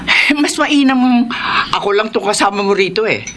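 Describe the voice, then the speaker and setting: Actress as a bossy, animated lady employer. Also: medium pitch, moderate pace, background noise, natural voice. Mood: dry, curt. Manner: curt, mocking. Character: bossy, sarcastic, suspicious lady of the house. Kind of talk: Tagalog radio drama acting.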